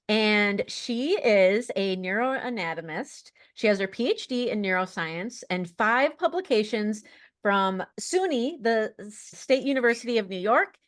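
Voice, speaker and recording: A woman hosting a podcast, introducing her guest with upbeat energy. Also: medium pitch. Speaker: podcast host. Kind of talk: casual interview hosting.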